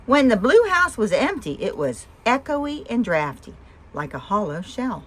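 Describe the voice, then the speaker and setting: A woman reading a picture book aloud for young children with expression, pausing to ask the listeners simple questions. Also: a little high, very animated. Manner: asks listeners simple questions between story lines. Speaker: storyteller and host of a read-aloud show.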